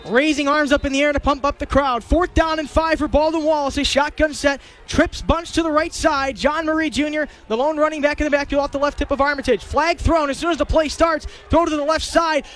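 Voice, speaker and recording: High-pitched young man calling football play-by-play. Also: fairly even intonation, brisk, few pauses, a bit bright. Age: young adult. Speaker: radio football play-by-play announcer. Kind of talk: live play-by-play sports commentary.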